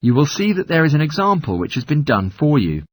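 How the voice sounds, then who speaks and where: A man's voice: on the high side, big pitch swings, fairly quick, no echo. A man narrating scripted exam instructions in a formal, instructional manner. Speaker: test narrator.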